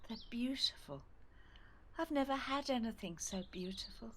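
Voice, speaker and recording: A woman's voice: fairly high pitch, expressive, moderate pace, fairly bright tone. An actress playing a fragile mother of a grown son in an audio play, with a wistful, girlish manner. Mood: hushed delight.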